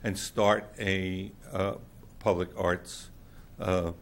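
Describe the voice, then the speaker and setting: Man, loud booming low voice, blunt public commenter at a podium mic. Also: flat delivery, drawn-out words, creaky, a little hiss or hum. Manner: blunt, plainspoken, with many fillers. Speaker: public commenter at a council meeting. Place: council chamber.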